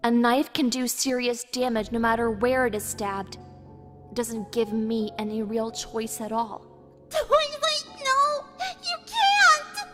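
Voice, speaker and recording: A woman's voice: a little high, lively intonation, slow pace, fairly bright tone. A woman voicing anguished young cartoon pony captives, pleading desperately in a dramatic audio story. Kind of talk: dramatic fan fiction audio reading. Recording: long echoing reverb with music behind.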